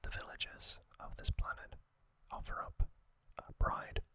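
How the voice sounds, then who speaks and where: An ASMR host speaking in a breathy, unvoiced whisper, recapping trivia in a hushed, halting way with pauses.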